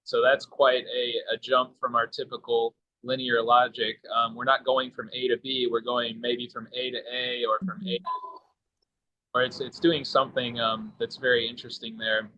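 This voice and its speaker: Male literary translator, bright clear-edged tone, little pitch movement, measured and tentative in panel discussion. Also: level tone, dry recording, highs cut off.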